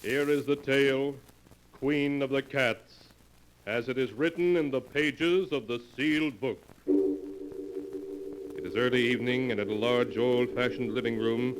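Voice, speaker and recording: Man acting a slow, ominous horror radio narrator. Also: medium pitch, over music, close to an everyday voice. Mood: grave, scene-setting. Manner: melodramatic, portentous. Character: ominous, solemn storyteller of dark secret tales. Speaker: narrator and host of a horror anthology. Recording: noisy 1940s radio recording, long reverb.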